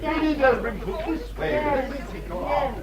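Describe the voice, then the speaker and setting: An actress as a high-voiced, fawning society woman. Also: moderate pace, lightly acted. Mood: fussy, fawning. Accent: English. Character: fussy, fawning, self-important Regency socialite ushering titled company. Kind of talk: period radio drama acting. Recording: crowd murmur behind.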